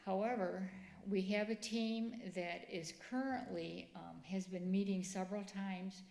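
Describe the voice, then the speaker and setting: Soft-spoken woman in an echoey church. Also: mid-range voice, fairly even intonation, a little slow, creaky. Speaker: ministry speaker. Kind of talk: church presentation talk. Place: church sanctuary.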